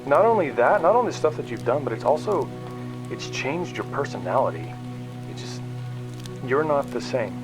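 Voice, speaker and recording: Guy acting frustrated mechanic dude in a scifi audio drama, regular pitch, casual and argue-y, loud music under it. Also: sing-song, moderate pace, close to an everyday voice. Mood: hurt, accusing. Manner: casual, conversational, argumentative. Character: frustrated young-ish male mechanic hurt by estranged best friend.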